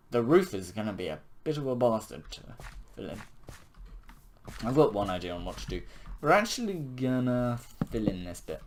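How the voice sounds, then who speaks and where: A hoarse young man with a nearly lost voice, chatting casually and mocking himself while he builds in a game. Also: on the low side, noisy background. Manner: self-mocking, chuckling, rambling. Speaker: gaming video creator.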